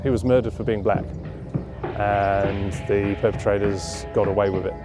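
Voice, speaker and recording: A man, speaking informally and thoughtfully, with halting phrases, in an interview. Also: lowish voice, fairly even intonation, music in the background. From London, England. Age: 30s. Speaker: interviewee, charity cyclist. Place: outdoors at a leafy campground.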